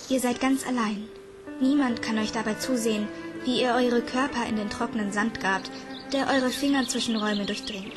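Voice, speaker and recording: A woman reading a scripted audiobook story in a dreamy, deliberate manner. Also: a little high. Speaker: narrator. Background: background music.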